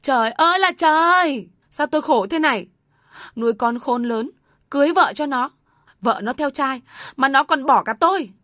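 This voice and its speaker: A woman's voice: expressive, normal speed, fairly bright tone, dry recording, studio quality. Woman putting on a shrieking furious mother-in-law. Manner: shrill, scolding, wailing.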